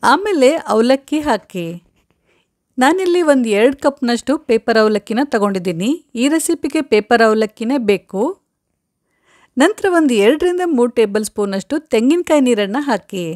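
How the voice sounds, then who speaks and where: A middle-aged woman hosting a cooking channel, giving friendly, clear step-by-step recipe instructions from her home kitchen on a lapel mic. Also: fairly high pitch, expressive, moderate pace, darkish tone, no echo, studio quality.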